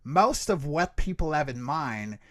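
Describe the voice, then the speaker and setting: Guy on the higher side explaining voice technique like a chatty teacher, super dry recording. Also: expressive, a little slow, dry recording. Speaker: creature voice actor, interview guest. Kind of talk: conversational explanation of vocal technique.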